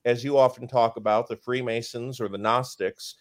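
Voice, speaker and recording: Booming-voiced priest, emphatic and indignant. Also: male voice, steady tone, moderate pace. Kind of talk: casual conversation on a live stream.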